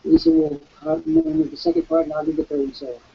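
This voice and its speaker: A man hosting a live online webcast, with a croaky voice, giving an emphatic, preacherly sales pitch. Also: very animated, quick pace, dull audio. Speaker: webcast host.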